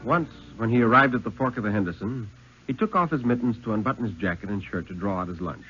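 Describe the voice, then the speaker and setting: A man reading a thriller story aloud in a 1950s dramatic radio style, with suspenseful, measured phrasing and deliberate pauses. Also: lowish voice, bright, forceful tone, faint music behind. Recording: muffled, lo-fi 1950s radio recording.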